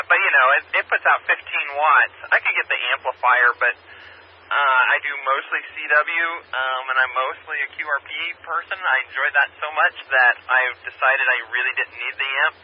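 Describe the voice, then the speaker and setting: A man chatting casually over a two-way ham radio, talking in a rambling, halting way. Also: mid-range voice, husky. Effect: two-way radio transmission. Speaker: amateur radio operator. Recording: muffled, noisy radio repeater picked up by scanner.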